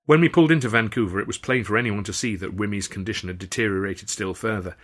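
Low-voiced man narrating a story. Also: fast talker. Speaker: author reading own novel. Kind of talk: audiobook narration with acted dialogue.

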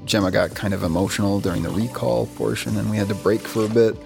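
An actor playing an easygoing, slightly distracted young boyfriend in an audio drama, halting and casual. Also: male voice, lowish voice, steady tone, vocal fry. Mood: puzzled, weary. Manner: halting, casual, good-natured. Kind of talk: naturalistic audio drama acting. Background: music.

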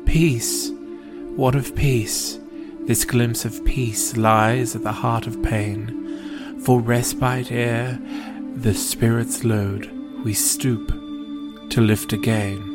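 A man reading poetry and scripted narration in a solemn, deliberate manner. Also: medium pitch. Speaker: poetry podcast reader. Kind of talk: slow, measured poetry recitation and scripted narration. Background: prominent calm new-age music.

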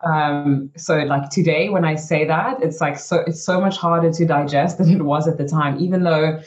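A woman's voice: sits low, steady tone, normal speed, a little mellow, lo-fi sound. Chatty young woman recounting her story. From South Africa. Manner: chatty and frank, many "you know" and "like" fillers. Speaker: racing driver, interview guest. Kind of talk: candid storytelling in an interview.

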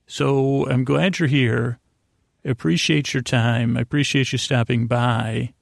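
Gravelly, rambling man hosting a sleep podcast. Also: medium pitch, fairly even intonation, dry recording, clean studio sound. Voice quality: gravelly, creaky. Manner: meandering, halting, stammering, self-interrupting; whimsical, silly wordplay. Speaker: podcast host.

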